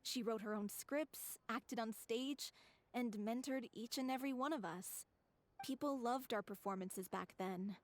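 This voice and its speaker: A woman's voice: fairly high pitch, unhurried, lightly acted. A woman playing a devoted, earnest theater troupe member in a video game, speaking wistfully.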